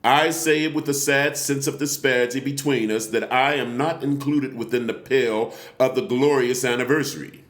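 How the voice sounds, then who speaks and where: An actor playing an indignant, solemn nineteenth-century abolitionist orator, declaiming a formal speech deliberately with dramatic pauses and emphasis. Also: male voice, mid-range voice, a bit bright, lightly acted. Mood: grave, mournful. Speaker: actor reading a historical speech. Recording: echoey, roomy sound.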